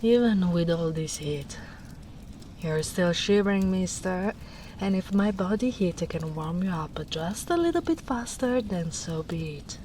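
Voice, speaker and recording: A woman voicing a gentle, caring big female ogre in a soft-spoken, soothing and lightly teasing ASMR roleplay. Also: on the low side, animated, unhurried, slight background noise. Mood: tender, teasing. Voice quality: soft, hushed.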